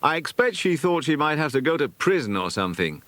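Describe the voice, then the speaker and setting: A well-spoken boy read by a man in a children's story. He is polite, earnest and anxious. The voice sits raised, with lively movement in the pitch. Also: fairly quick, dry recording. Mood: relieved, breathless news.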